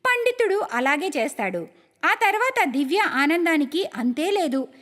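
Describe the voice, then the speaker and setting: A woman's voice: very high-pitched, sing-song, a little slow, fairly bright tone. A woman narrating a scripted moral story. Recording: long hall-like reverb.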